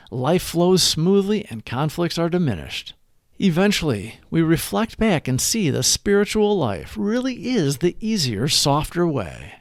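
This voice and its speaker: A man reading a scripted daily reflection aloud in a measured, earnest, instructive way. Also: on the high side, creaky, no room sound, clean studio sound. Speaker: reader of a daily recovery meditation.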